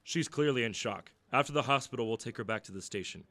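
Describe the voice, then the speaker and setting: A man's voice: medium pitch, fast talker, a bit bright, natural voice. A man playing a sceptical police officer in an audio drama, speaking in an official, matter-of-fact way. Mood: brisk, doubtful. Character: brisk, sceptical police officer questioning youngsters. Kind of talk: scripted audio drama acting.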